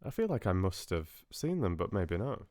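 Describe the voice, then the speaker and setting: A man's voice: low voice, sing-song, moderate pace, a little mellow, dry recording, studio quality. A man hosting a livestream, chatting casually with viewers in a friendly, hesitant way with trailing asides. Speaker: livestream host. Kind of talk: casual chat with live viewers.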